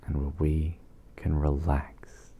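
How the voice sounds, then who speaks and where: Very deep, slow, breathy man. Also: completely flat delivery. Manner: soft, soothing, breathy, with long pauses. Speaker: guided meditation host. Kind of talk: ASMR guided meditation.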